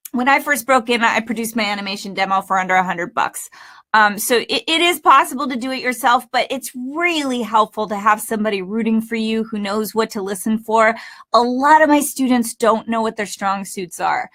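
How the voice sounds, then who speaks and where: High-voiced woman hosting a casual webinar. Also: moderate pace, sharp tone. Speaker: host and voice acting coach. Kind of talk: webinar presenting, casual chat.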